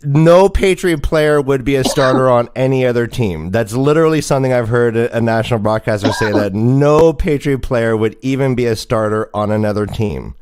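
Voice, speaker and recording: Male sports livestream host, clear mid-pitched voice, animated, joking, informal. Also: normal speed, few pauses. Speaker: host of a fantasy football livestream. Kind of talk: casual sports chat.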